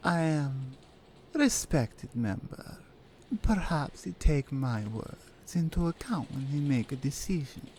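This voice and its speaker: A woman playing a menacing, seductive Russian mafia captor in an intimate ASMR roleplay, with a Russian accent and a deliberate, controlled delivery. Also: sits low, lively intonation, a little mellow, a little hiss or hum. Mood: stern, matter-of-fact.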